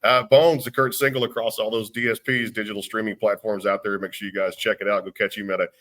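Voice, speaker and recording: A man's voice: fairly low pitch, flat delivery, quick pace, fairly bright tone. A man hosting a radio interview, upbeat and eager, saying "y'all" and promoting the guest's work. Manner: upbeat, enthusiastic, plugs the show. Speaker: radio show host.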